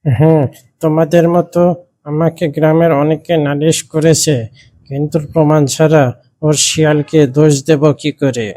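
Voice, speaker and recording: A man playing a fair-minded, cautious village leader in a cartoon, with a measured, admonishing manner. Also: fairly high pitch, little pitch movement, dark tone, close to an everyday voice. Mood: measured, cautioning. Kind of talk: cartoon character acting.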